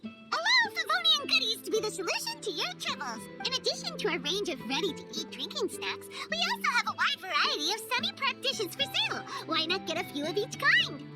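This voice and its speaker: A tiny, childlike fairy sidekick from a video game, squeaks along at an extremely high pitch. The delivery is chirpy, excitable and very animated, with prominent music underneath. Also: female voice, a little fast, heavily acted. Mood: cheerful sales pitch. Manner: chirpy, refers to herself in third person. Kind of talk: animated game cutscene acting.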